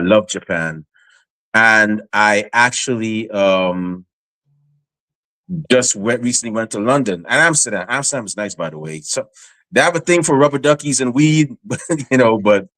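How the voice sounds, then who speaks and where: A man's voice: medium pitch, animated, measured pace, a bit bright, no echo, rough recording. A man from Guyana hosting a casual podcast interview, hyped in his introductions and rambling with many fillers. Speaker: podcast host.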